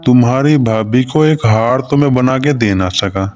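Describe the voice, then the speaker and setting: A man's voice: medium pitch, normal speed, darkish tone, muffled recording, natural voice. A man voicing a poor village farmer and husband in a moral story cartoon. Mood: reluctant. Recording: music under the voice.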